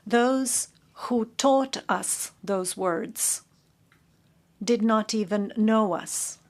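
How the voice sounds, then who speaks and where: Female court interpreter, middle pitch, very slow and halting, corrects herself. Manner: measured, halting, with self-corrections. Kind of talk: interpreting a formal statement.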